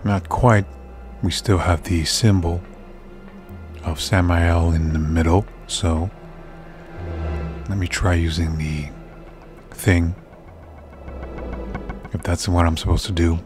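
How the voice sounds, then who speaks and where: A soft-spoken male gamer who is losing his voice, giving casual commentary as he plays. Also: low voice, steady tone, slow pace, long pauses. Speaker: video game streamer. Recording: loud game music behind. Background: horror game soundtrack.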